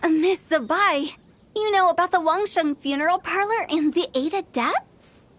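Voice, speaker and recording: Casting: mischievous teen girl director, game role, extremely high pitch, chatty and theatrical. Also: unhurried, fairly bright tone. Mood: intrigued. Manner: teasing, chatty, slightly theatrical. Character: playful, quirky teenage girl funeral director, inquisitive and theatrical. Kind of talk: anime game voice acting.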